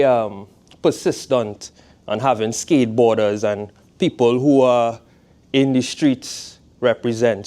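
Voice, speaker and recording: A man's voice: mid-range voice, darkish tone, clean tone. A man in his twenties from Trinidad, with a Trinidadian accent, giving a laid-back, conversational guest talk to a college class. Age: 20s. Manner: laid-back, conversational, asks "you know what I mean". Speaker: guest speaker, footwear designer.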